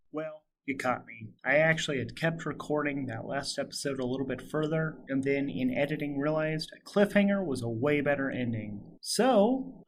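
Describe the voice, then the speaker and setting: Higher-voiced man narrating a solo game. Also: slight background noise. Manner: informal, thinking aloud. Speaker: host and solo game master-player.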